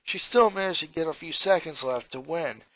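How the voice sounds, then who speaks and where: A man reading a story aloud as an expressive amateur narrator. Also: a little high, normal speed, no room sound, low-quality recording. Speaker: narrator reading fan fiction.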